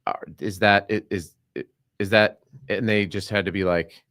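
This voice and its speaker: Man, low voice, dry close sound, casual podcast host, rambling with restarts. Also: fairly even intonation, normal speed, a bit bright, no echo.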